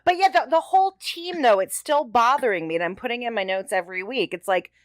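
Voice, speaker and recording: A woman's voice: a little high, lively intonation, fast, dry recording, studio quality. A woman co-host talking casually and conversationally about a television show. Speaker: podcast co-host. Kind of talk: casual chat, TV episode discussion.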